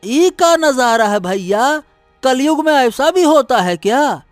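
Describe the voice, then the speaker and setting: Casting: man as earnest rustic cartoon character, notably high voice, music underneath. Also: animated, measured pace, no echo. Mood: amazed, gossiping. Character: village men and a brisk palace soldier. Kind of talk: animated Hindi moral-story dramatization.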